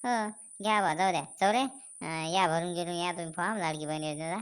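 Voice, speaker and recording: A woman's voice: on the low side, fairly even intonation, brisk, clean tone, close to an everyday voice. A woman voicing a nosy, sarcastic village man in a comic cartoon, needling in a rural Maharashtrian accent.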